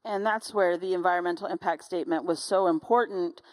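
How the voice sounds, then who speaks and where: A woman's voice: on the low side, fairly even intonation, brisk, poor audio. A woman, speaking conversationally as a local community representative on a panel. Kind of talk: panel discussion, conversational explaining.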